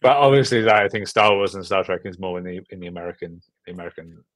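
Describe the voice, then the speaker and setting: Young man, British accent, lowish pitch, casual rambling guest, self-deprecating, dry video-call sound. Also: fast talker, no room sound. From Britain. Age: young adult. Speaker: guest filmmaker. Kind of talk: casual interview chat. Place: room at home, on a video call.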